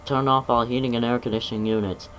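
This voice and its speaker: Man, mock emergency announcer, official measured delivery, trips over words, mid pitch, faint background hiss. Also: level tone, a bit bright, rough recording. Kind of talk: reading a mock emergency alert broadcast.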